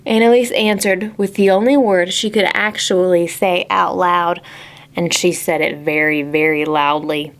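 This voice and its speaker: A woman hosting a children's storytime, reading aloud in an animated, exaggerated way and putting questions to young listeners. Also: lowish voice, unhurried. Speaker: children's librarian, storytime host. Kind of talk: children's picture-book read-aloud and singalong.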